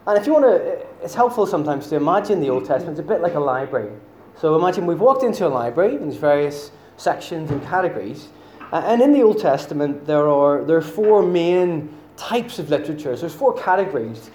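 A man's voice: a little high, big pitch swings, fast talker, hardly any pauses, dark tone, roomy sound, slight background noise. A man from Northern Ireland with a Northern Irish accent, preaching a sermon in a chatty, self-deprecating way with many fillers. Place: church hall.

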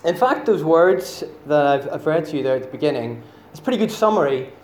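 Northern Irish preacher in a roomy church. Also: male voice, fairly high pitch, lively intonation, brisk, a little mellow, slight background noise. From Northern Ireland. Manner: chatty and self-deprecating, many fillers. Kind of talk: sermon. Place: church hall.

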